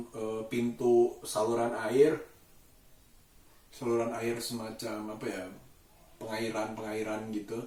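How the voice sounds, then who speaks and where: A man speaks casually, as in a daily vlog. His delivery is slow and halting, often pausing to find the next word. The sound is echoey and picked up at some distance from the mic. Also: medium pitch, darkish tone. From Indonesia. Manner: halting, thoughtful, searching for words. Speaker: vlogger. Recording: roomy echo, distant mic.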